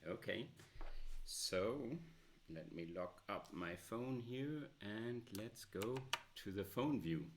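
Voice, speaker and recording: Deep-voiced man with a loud voice. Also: expressive, background noise. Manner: loud voice with frequent filler pauses. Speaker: presenter demoing a smartphone app. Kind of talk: casual product demo.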